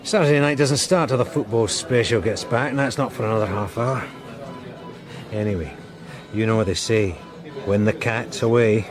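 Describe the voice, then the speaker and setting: An actor playing a cheery, down-to-earth detective sergeant in a radio drama, blokey and easygoing. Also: male voice, lowish voice, moderate pace, over music, lightly acted. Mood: cocky, cheerful.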